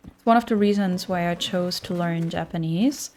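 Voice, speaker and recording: A woman's voice: lowish voice, normal speed, a little mellow, faint music behind. A young woman with a German accent, chatting casually on a livestream and answering viewer messages with frequent fillers. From German-speaking. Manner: chatty, thinks aloud with fillers. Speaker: streamer.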